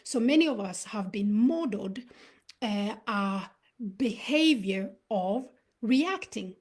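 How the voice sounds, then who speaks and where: A woman who was born in Uganda and raised in Sweden, hosting a live talk on self-awareness and telling personal stories in a reflective, unhurried way. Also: medium pitch, animated. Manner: reflective, storytelling with pauses. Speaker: host.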